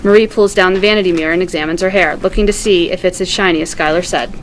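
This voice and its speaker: Lively woman reading screenplay, noisy recording. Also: lowish voice, fast talker. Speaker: stage directions reader. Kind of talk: scripted screenplay narration at a table read.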